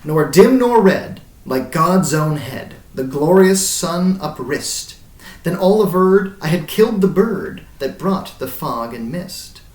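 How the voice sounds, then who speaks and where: A man's voice: fairly high pitch, lively intonation, slow, darkish tone. A man voicing a haunted, grey-bearded old sailor who recounts his voyage in dramatic, incantatory verse that swells and falls away. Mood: remorseful. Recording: roomy echo, distant mic.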